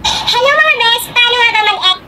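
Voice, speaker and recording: Tiny cartoon elf, extremely high, perky, swooping, digital voice-changer effect, noisy clip. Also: female voice, expressive, brisk. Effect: pitched-up digital voice changer.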